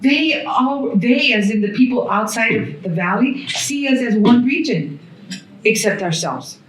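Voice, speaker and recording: A woman's voice: a little high, animated, measured pace, a little hiss or hum. Woman in an echoey hall, far off mic. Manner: earnest, civic, lists places and agencies. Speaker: panelist. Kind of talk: panel discussion talk. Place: hotel event room at a brunch. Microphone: handheld mic passed along the panel.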